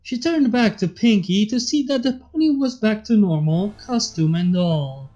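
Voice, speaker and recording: A young woman narrates a story as a channel host would. The voice is mid-pitched and a little dull in recording, with faint music underneath. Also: expressive, normal speed. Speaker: fanfiction reader and channel host. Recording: slightly dull audio, soft background music.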